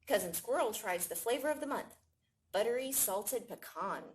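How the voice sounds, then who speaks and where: A woman reads a picture book for young listeners. Her voice sits a little high and moves widely in pitch, with expressive storytelling delivery. There is faint noise in the recording. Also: moderate pace. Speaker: library staff storytime reader. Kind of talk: children's picture book read-aloud.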